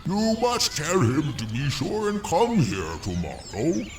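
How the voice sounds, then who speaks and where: A slow, booming old giant. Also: male voice, huge pitch swings, a little hiss or hum, exaggerated voice. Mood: tender. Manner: slow, booming, archaic fairy-tale speech. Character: repentant old giant, gruff yet tender. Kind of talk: audio drama acting. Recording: roomy, distant voice over music.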